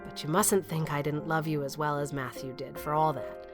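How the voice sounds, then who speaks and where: A woman plays a plain-spoken older spinster in a storybook drama. The voice is low, halting and restrained, stern by habit but awkwardly tender, over clear music. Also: fairly even intonation, natural voice. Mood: tender confession. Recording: music under the voice.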